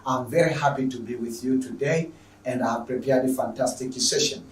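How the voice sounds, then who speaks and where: A man's voice: mid-range voice, fairly quick. Echoey, distant Tanzanian male teacher. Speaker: online secondary school mathematics teacher. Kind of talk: spoken lesson introduction to viewers. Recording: echoey room, distant microphone.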